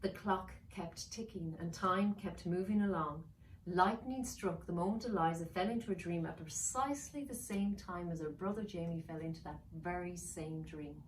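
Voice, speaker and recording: Lower-voiced woman storyteller in echoey room. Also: normal speed. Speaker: children's author. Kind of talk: storytelling and reading aloud to children. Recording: roomy, distant mic, faint noise.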